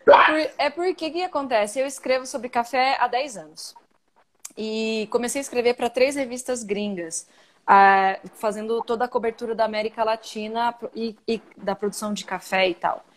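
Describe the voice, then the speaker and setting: An outspoken Brazilian woman, a coffee journalist, tells stories with animated energy. Her voice sits slightly high, and she comes through a live video call. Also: moderate pace. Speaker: guest, coffee journalist and podcaster. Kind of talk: casual interview chat.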